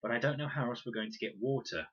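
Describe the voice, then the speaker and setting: A man's voice: mid-range voice, fast, fairly bright tone, breathy edge, lo-fi sound, pitched above the performer's own voice. A man voicing an earnest, brave schoolboy adventurer in a storybook, plain-spoken in manner. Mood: honest, resolute.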